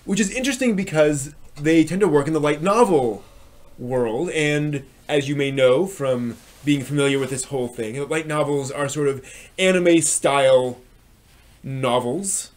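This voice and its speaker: A man hosting a live stream review, talking unscripted in a halting way, often repeating and rephrasing himself. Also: fairly high pitch. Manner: frequent throat clearing.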